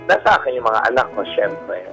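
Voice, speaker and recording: A middle-aged man with a Filipino accent and a husky voice, telling his personal story haltingly and candidly in a radio interview. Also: medium pitch, big pitch swings, fairly bright tone. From Philippines. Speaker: guest telling his life story, a stage performer. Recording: radio show with music bed. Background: background music.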